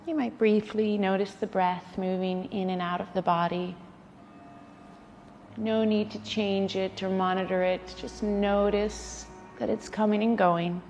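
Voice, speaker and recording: Woman, yoga nidra instructor, soothing guided meditation, unhurried and slow, long echoing reverb. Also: medium pitch, fairly even intonation, soft background music. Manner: soothing, unhurried, gentle guiding with pauses. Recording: long reverberant hall, faint background noise.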